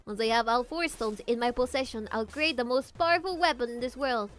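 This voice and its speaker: A woman's voice: a little high, a little fast, natural voice. A woman reading the lines of a boastful female pirate villain aloud while playing a game, in a gloating, theatrical way.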